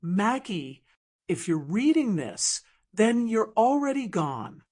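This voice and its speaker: A woman plays a middle-aged man, the tender old sweetheart of an audiobook romance. He speaks gently and quietly, taking his time over each phrase. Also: medium pitch, very animated, takes it slowly. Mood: tender, wistful. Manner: soft-spoken, gentle.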